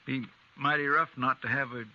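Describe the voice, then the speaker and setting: An actor playing a folksy, deferential American deputy with a rustic drawl in a 1950s radio western. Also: male voice, mid-range voice, fairly even intonation, normal speed, sharp tone. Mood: homey, musing. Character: folksy, deferential male deputy to a frontier marshal. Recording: muffled, noisy 1950s radio recording.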